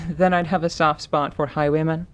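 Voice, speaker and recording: A woman playing a world-weary winged tiefling warrior in an audio drama, with a dry, wry, deadpan manner. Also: low-pitched, normal speed, lightly acted. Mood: wry, confessional.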